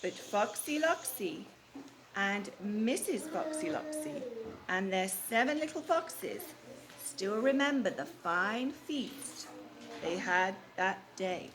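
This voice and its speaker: A woman's voice: mid-range voice, lively intonation, slow. A woman reading a storybook aloud and questioning young children in a teacherly way, repeating answers back. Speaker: read-aloud storyteller leading a children's class. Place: classroom or school room with children. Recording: noisy live room with background noise.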